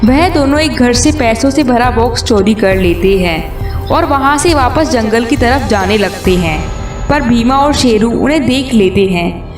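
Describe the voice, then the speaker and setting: A woman's voice: fairly high pitch, lively intonation, a little slow. A woman reading a scripted children's story as its narrator. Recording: roomy distant sound, music behind.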